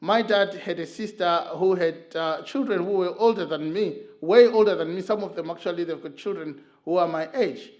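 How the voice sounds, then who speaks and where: A middle-aged man from Zimbabwe speaks in a church, his voice sitting high for a man. He talks earnestly and tells stories, with frequent filler pauses as he searches for words. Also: darkish tone, highs cut off. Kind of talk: talk on men's mental health. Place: church hall.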